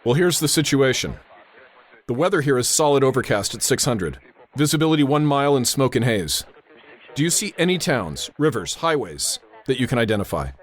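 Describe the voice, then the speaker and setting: An actor playing a brisk, steady airport tower controller under pressure, in a radio drama style. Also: male voice, medium pitch. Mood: concerned.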